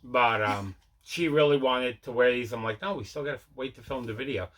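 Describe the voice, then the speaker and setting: Male vlog host, bright forceful voice, relaxed rambling unboxing chat with repeats. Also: medium pitch, fairly quick. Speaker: video host showing mail and movie collection.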